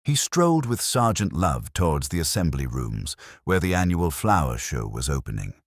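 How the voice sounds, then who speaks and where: Very deep male mystery narrator. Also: very animated, moderate pace, no room sound, clean studio sound. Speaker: audiobook narrator. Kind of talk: scripted mystery story narration.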